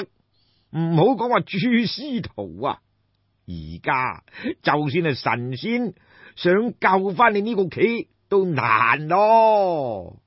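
A man's voice: fairly high pitch, slightly rough, exaggerated voice. A man with a Cantonese accent voicing a boastful, jovial but ruthless ancient king in an audiobook. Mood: gleeful, gloating. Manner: boastful, drawn-out, laughing. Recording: dull, roomy lo-fi recording.

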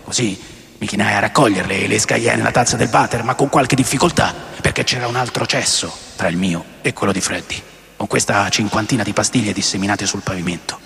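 A man playing a rock musician recalling wild tours in a radio drama monologue, conversational and coarse, with dramatic pauses. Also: lowish voice, gravelly, a bit bright. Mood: tense, rueful. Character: adult male rock musician recalling wild touring days. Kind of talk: radio drama monologue, first-person storytelling. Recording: long reverb, music under voice.

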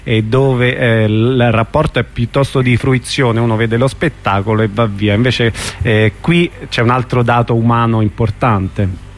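A man hosting a conversational radio interview, halting and rephrasing as he goes. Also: mid-range voice, fairly even intonation. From Rome, Italy.

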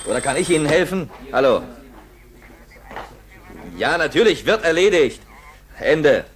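A man's voice: a little high, expressive, unhurried. A man acting a busy, patronising but friendly Soviet staff officer, clipped and brusque, in a 1960s German radio drama. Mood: dismissive. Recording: noisy old 1960s radio tape.

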